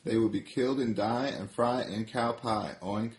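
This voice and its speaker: A man voicing a small, excitable pig who oinks between his words, in a raised voice. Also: on the low side, moderate pace, voice pushed up, lightly acted. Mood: silly. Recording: roomy echo.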